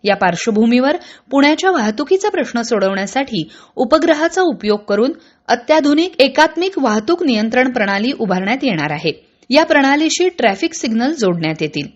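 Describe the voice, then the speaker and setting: A woman reads radio news. Her delivery is formal and measured, following a script. The sound is a little dull and band-limited. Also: a little high, very animated. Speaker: radio newsreader.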